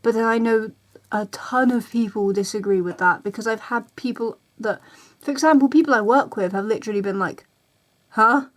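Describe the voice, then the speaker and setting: A woman's voice: on the high side, normal speed. A woman, talking casually straight to camera, rambling and repeating herself. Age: 20s. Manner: chatty, repeats herself, voices other people's reactions. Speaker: vlogger. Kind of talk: casual ranting vlog.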